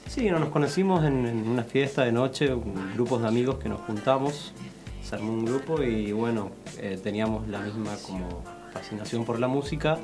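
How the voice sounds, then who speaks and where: A man from Chile, a guest musician explaining his music in technical detail, a bit halting. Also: medium pitch. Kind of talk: casual interview chat.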